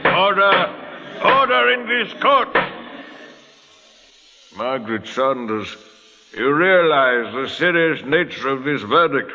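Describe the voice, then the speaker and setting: An actor playing a stern, authoritative Lord Chief Justice in a 1940s radio drama, formal and commanding. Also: male voice, a little high, big pitch swings, slow, long pauses, pushed and bright, heavily acted. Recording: old radio recording, reverberant courtroom sound.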